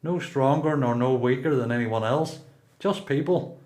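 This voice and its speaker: This is a seasoned prisoner in a radio play acted by a man, with a Northern Irish accent. He is plain-spoken and reflective, weighed down by regret. His mid-pitched voice sits in an echoey room. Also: moderate pace, a little mellow, lightly acted. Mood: firm, urging. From Belfast, Northern Ireland. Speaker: amateur performer in a radio play. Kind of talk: radio play dialogue and monologue.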